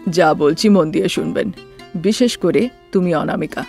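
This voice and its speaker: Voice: mid-range voice, normal speed, creaky, a little mellow, faint music behind, close to an everyday voice. Voice actor playing a stern lecturing doctor. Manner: firm, lecturing. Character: stern male doctor, blunt and warning.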